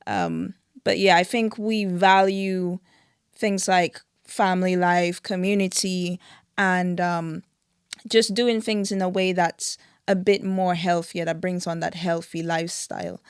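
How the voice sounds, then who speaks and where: Relaxed young woman guest. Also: lowish voice, unhurried, clean tone, dry recording, clean studio sound. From Dominica. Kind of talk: casual interview chat.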